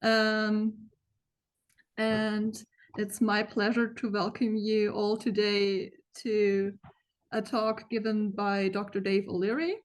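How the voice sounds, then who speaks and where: A young woman who moderates an online talk gives a welcome and housekeeping notes over a video call, friendly and informal, often pausing on fillers. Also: mid-range voice, flat delivery. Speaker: moderator introducing a speaker.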